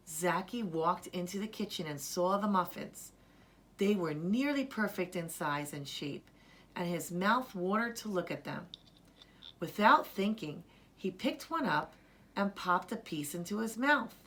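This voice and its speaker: Female children's book narrator: animated melody, medium register, deliberate storytelling read. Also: medium pitch.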